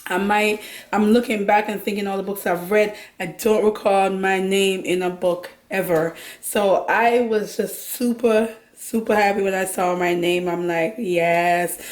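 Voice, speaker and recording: A woman with a hoarse, worn voice and a Jamaican accent, chatting casually as a vlog host and laughing now and then. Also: medium pitch, unhurried, reverberant. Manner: laughs often, relaxed chatty talk. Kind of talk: casual chat about books and cooking.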